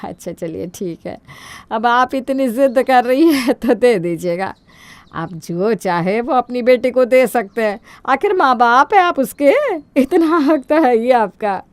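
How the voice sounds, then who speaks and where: A woman's voice: fairly high pitch, animated, a little slow, no echo. Woman voicing a sly, greedy middle-aged mother-in-law. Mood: gushing, coy. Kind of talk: Hindi cartoon moral-story dubbing.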